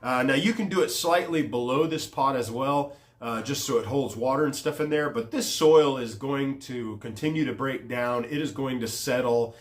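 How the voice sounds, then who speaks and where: A man's voice: medium pitch, moderate pace, few pauses. A man hosting a gardening vlog, talking casually with many ums and uhs, a little stuffy from a cold, recorded on a phone on a tripod. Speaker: gardening channel host. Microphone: phone camera on a tripod.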